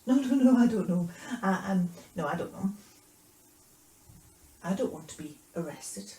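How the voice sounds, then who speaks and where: A woman's voice: fairly low pitch, steady tone, a little slow, darkish tone, echoey room, natural voice. Woman acting out a flustered, emphatic male disciple. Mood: panicked denial. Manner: emphatic, repetitive denials. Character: Peter, impulsive, frightened, blustering male disciple.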